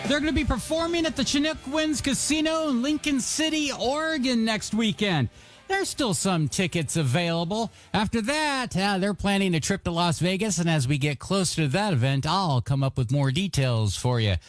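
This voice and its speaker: Male music-radio host. Showy, highly animated announcer patter, pitched high, over a music bed. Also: normal speed. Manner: showy, swooping announcer delivery.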